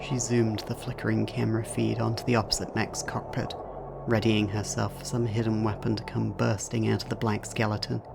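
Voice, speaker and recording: A man's voice: on the low side, flat delivery, fairly quick, vocal fry, background noise. A man reading scripted narration for an audio drama as its narrator.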